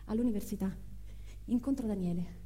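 A woman's voice: mid-range voice, a little fast, dark tone, close to an everyday voice. An actress playing a spirited young single mother in a theatrical monologue, emphatic and given to repeating phrases. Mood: reflective. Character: spirited young single mother telling her life story. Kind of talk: theatrical first-person monologue with acted dialogue.

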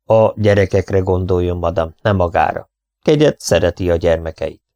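A man voicing a calm, precise foreign detective, speaking in a measured, soothing way. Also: low-pitched, no echo, dull audio.

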